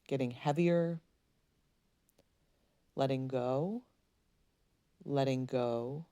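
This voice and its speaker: A woman leading a guided meditation, speaking in a soothing, gently instructing way with unhurried pauses. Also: low-pitched, dry recording.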